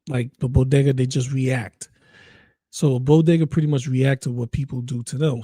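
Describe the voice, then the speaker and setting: A man casually commenting on a live stream, pausing and restarting often as he sets up a clip. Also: mid-range voice, warm tone, breathy edge, no echo. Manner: halting, many restarts and fillers, easygoing.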